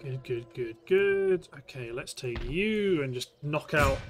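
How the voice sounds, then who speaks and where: A man's voice: a little high, lively intonation, slow, faint music behind. A British man giving casual let's play commentary on a strategy game, thinking aloud in short remarks. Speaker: gameplay host.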